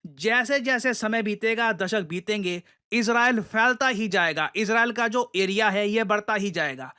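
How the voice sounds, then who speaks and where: A man's voice: high-pitched, measured pace, sharp tone, smooth. A man with an Indian accent giving emphatic explanatory news commentary, addressing listeners directly and mixing in English phrases.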